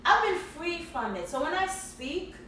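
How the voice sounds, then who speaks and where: A woman's voice: extremely high pitch, big pitch swings, normal speed, a bit bright, distant mic. Emphatic woman from Haiti, echoey room. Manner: emphatic, repeating phrases for effect. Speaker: podcast co-host. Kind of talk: casual chat, motivational.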